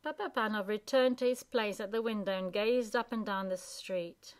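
A woman storyteller reading a storybook aloud in an expressive narrating style. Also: mid-range voice, normal speed, smooth.

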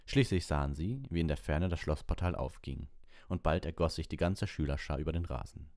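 A man's voice: a bass voice, brisk, a little mellow, dry recording, studio quality. A man reading a story aloud as an audiobook narrator.

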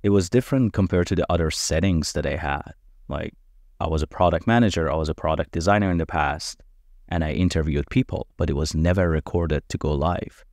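A podcast host, a man whose first language is Farsi, speaks in a very low voice. He talks in an earnest, measured, reflective way. The recording is dry and close, with no room sound. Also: vocal fry, a little mellow, dry recording. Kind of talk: scripted podcast introduction.

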